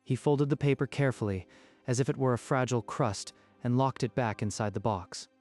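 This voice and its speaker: A man's voice: mid-range voice, a little fast, a little mellow. A man narrating a scripted dramatic story in a literary storytelling style. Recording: music behind the voice.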